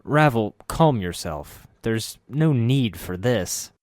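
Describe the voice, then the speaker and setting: A man's voice: medium pitch, animated, slow pace, darkish tone, no echo, clean studio sound. A man voicing a weary half-human wanderer. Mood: pleading. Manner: earnest, pleading.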